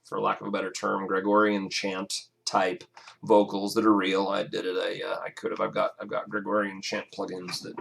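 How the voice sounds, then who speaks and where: A raspy-voiced man talks through his mixing session in a loose, casual way, often stopping and restarting with fillers. His voice sits on the low side and carries a gravelly, fry-heavy edge. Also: monotone, fairly bright tone. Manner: halting, many fillers and restarts. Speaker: music producer. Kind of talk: casual screen-recorded mixing walkthrough.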